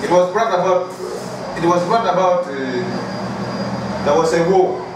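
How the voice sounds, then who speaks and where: A young man from northern Uganda with a northern Ugandan accent, recalling his life in interview answers, haltingly and often repeating phrases. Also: high-pitched, steady tone. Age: young adult. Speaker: interviewee, athlete. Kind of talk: interview answers, personal recollection. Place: small bare room indoors. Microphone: camera mic a few feet away. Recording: very echoey room, distant mic, clear background noise.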